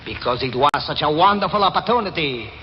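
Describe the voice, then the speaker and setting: An actor playing a coolly mocking, unrepentant killer in an old-time radio mystery. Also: male voice, a little high, animated, brisk, close to an everyday voice. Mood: vengeful, gloating. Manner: sardonic, unrepentant. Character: vengeful killer faking death, coolly mocking villain. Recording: dull, hissy old radio recording.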